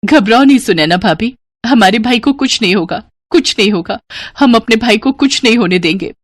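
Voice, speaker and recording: A woman's voice: fairly high pitch, expressive, normal speed, no echo. A woman voicing devoted, protective village sisters in a moral cartoon, speaking in an earnest, emotional way. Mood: worried. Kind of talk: Hindi moral cartoon dubbing.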